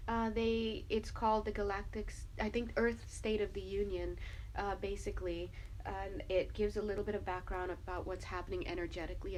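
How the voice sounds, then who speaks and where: A woman's voice: mid-range voice, darkish tone, slight background noise, poor audio. A woman with a stuffy, sniffly nose, chatting casually through a spiritual tarot reading, with lots of fillers and little laughs. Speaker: tarot reader.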